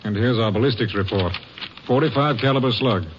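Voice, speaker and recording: An actor playing a steady, businesslike American Texas Rangers captain in an old-time radio drama. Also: male voice, medium pitch, a little fast, close to an everyday voice. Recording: dull, slightly noisy old radio recording.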